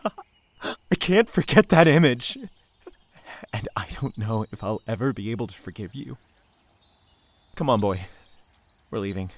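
An actor playing a grieving, shaken young man in an audio drama, hurt and guarded, stammering haltingly through his words. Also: fairly low pitch, lively intonation. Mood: hurt, bitter.

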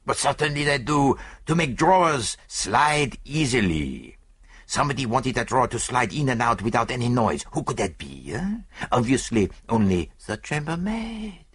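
A man's voice: mid-range voice, a bit bright, husky, pitched above the performer's own voice. A man voicing a vain, fussy middle-aged Belgian detective in an audiobook, with a French-speaking Belgian accent and precise, theatrical delivery. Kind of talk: audiobook character dialogue.

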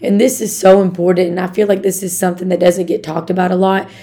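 A young woman from Arkansas with a raspy voice, hosting a casual motivational podcast in an upbeat, chatty way and talking straight to her listeners. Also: on the low side, level tone, fast, warm tone. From Arkansas, USA. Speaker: podcast host.

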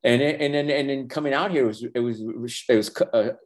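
An older man whose first language is Spanish, talking earnestly in a casual interview, halting with many restarts and fillers. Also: mid-range voice. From Los Angeles. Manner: earnest, reflective, faith-centered. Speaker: animation professor and former feature animator, guest.

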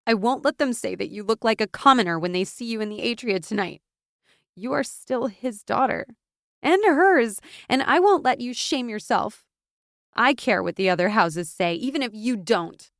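A woman's voice: medium pitch, moderate pace, no room sound, lightly acted. Lady doing a stubborn loyal best friend in a fantasy story, really pushy and fiery, dry sound. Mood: stubborn, angry. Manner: insistent, impassioned, gaining momentum. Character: fierce, stubborn, loyal young woman, pleading best friend.

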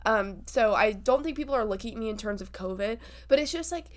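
A woman's voice: on the high side, lively intonation, fast talker. A young woman co-hosting a podcast, chatting in a bubbly way and laughing easily while congested and sniffly. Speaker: podcast co-host. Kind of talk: casual chat.

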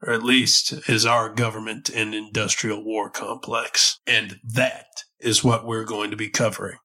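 A man hosts a scripted political podcast with a bright, forceful voice. Bronchitis has left him hoarse and a little nasal. He delivers the material earnestly and emphatically, like a lecture. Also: fairly low pitch. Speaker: podcast host.

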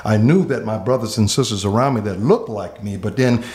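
A man who is a pastor from Miami, earnestly telling his life story in conversation, pausing often on fillers and repeated words. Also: fairly low pitch, a little mellow. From Miami, Florida. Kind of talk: reflective personal storytelling in conversation.

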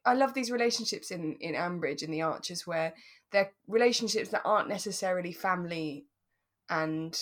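A woman's voice: lowish voice, normal speed. A British woman chatting casually and enthusiastically as a podcast co-host.

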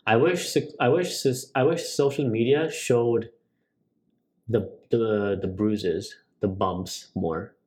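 A young man co-hosting a podcast, chatting casually, rambling and excitable with many restarts. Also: on the low side, takes it slowly. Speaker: podcast co-host. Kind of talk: casual chat.

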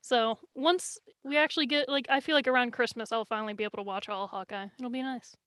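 A woman guesting on a podcast, chatting casually in a jokey, expressive, slangy way. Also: a little high, quick pace, dry recording, clean studio sound. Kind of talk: casual chat.

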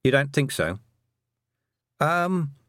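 A man's voice: mid-range voice, sing-song, fairly quick, no room sound, studio quality, voice pushed up. A man voicing an earnest, stubborn and anxious young girl who hesitates, then blurts things out, in an audiobook. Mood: hesitant. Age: child. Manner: hesitant openings, then breathless rush of detail. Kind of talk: audiobook character dialogue.

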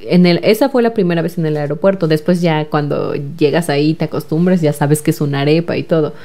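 A woman's voice: low voice, fast talker, mellow. A young woman with a Mexican accent answering interview questions in a chatty way, full of fillers and repeated words. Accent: Mexican Spanish. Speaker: guest, recent engineering graduate.

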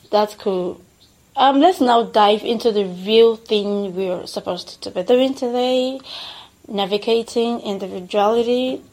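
Nigerian woman podcast host, upbeat and laugh-prone, higher voice, casual interviewer. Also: a little mellow, poor audio. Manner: enthusiastic, laughs often, many exclamations.

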